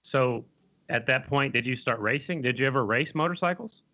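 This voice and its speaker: A man's voice: mid-range voice, normal speed, sharp tone, lo-fi sound. A man hosting a podcast interview, asking questions in a casual, friendly way. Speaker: podcast host.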